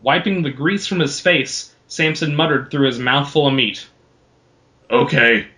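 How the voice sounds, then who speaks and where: A man's voice: on the high side, moderate pace, sharp tone. A man hosting a podcast, narrating a homemade adventure story in an informal style. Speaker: podcast host. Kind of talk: animated amateur story narration.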